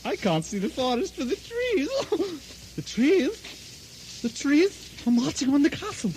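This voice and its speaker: Wildly swooping scoffing lord. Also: male voice, very high voice, big pitch swings, slow pace, a little mellow, full character voice. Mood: mocking, manic. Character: overconfident, scoffing, cackling castle lord. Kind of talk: comic radio drama acting. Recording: faintly noisy old radio recording.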